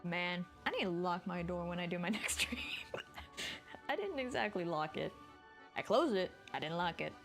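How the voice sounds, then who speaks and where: A woman's voice: on the low side, expressive, moderate pace, soft background music. A woman livestreamer giving casual, off-the-cuff commentary as she plays through a story game.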